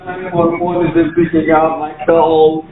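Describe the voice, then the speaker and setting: Casting: male actor as despairing trapped survivor, slow and pleading, thin phone-like sound. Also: fairly high pitch, flat delivery, crowd noise, close to an everyday voice. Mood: tearful pleading. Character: desperate trapped apocalypse survivor, grieving husband. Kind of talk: amateur horror audio drama monologue. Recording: echoey, phone-like, faint noise.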